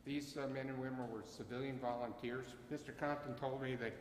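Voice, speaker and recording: A man running a ceremony as its emcee, with a loud voice and a halting way of talking, full of uhs and self-corrections. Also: mid-range voice, steady tone, a little hiss or hum. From Bucks County, Pennsylvania. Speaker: ceremony emcee and CAP wing commander. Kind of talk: ceremony address. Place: chapel. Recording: echoey chapel with long reverb.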